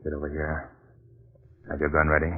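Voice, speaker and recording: An actor playing a brisk, commanding American police detective with a raspy voice in 1940s radio drama style. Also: male voice, a bass voice, completely flat delivery, background noise. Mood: tense, hushed. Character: forceful, urgent, no-nonsense police detective. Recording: muffled vintage 1940s radio recording.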